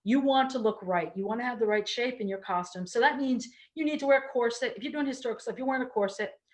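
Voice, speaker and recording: A middle-aged woman from Connecticut, with a Connecticut accent and a trace of Baltimore, explaining her stage work in a casual talk with many ums and restarts. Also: mid-range voice. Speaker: performer and storyteller giving a talk.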